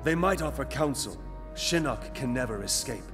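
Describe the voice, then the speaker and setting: A man voicing a calm, earnest hero in a video game, speaking in a composed, formal way. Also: medium pitch, moderate pace, lightly acted. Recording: echoing hall under loud music.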